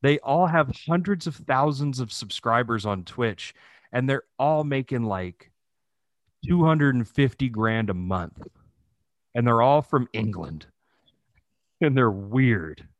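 Male podcaster, 30s, middle pitch, casual and profane joker, dry sound. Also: expressive, slow, no echo. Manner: profane, jokey storytelling with frequent chuckling. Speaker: podcast co-host. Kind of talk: casual comedic chat.

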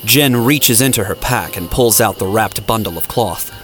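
Low-pitched male story narrator over music. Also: moderate pace, a bit bright. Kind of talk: scripted dramatic story narration. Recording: music playing behind the voice.

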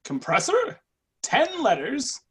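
A woman acts a young, officious office supervisor in a stage play reading. Her husky voice moves up and down a lot, yet she stays matter-of-fact. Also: sits high, huge pitch swings, slow pace, heavily acted. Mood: corrective. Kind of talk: readers' theatre play reading.

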